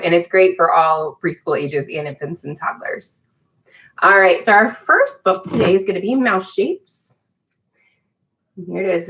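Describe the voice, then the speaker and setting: A woman's voice: lowish voice, a bit bright, rough recording. A woman in her thirties leading a live storytime for young children, encouraging and speaking to them directly as a teacher would. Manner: sing-song greetings to children by name. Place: small indoor room at home.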